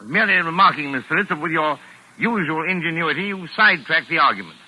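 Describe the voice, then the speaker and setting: A self-important, easily exasperated male mystery writer from a vintage radio play. His voice is forceful and piercing, fairly high for a man, and swings animatedly as he speaks with fussy, formal precision. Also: lively intonation, fast talker, heavily acted, poor audio. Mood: indignant. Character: pompous, touchy male mystery novelist dictating to secretary. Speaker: actor. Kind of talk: 1940s radio drama acting. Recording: raspy vintage 1940s radio recording.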